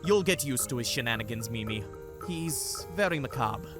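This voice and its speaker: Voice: mid-range voice, expressive, normal speed, over music, natural voice. An actor playing a cautious, dry-witted, exasperated fantasy sidekick in an audio drama, wry but polite. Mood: reluctant, exasperated.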